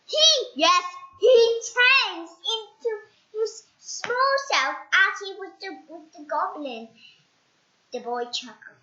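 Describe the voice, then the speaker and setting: A child narrates a storybook in a high, bright voice. The delivery is slow, halting and dramatic, with repeated words. The recording is echoey and slightly dull. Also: animated. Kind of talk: children's storybook read-aloud.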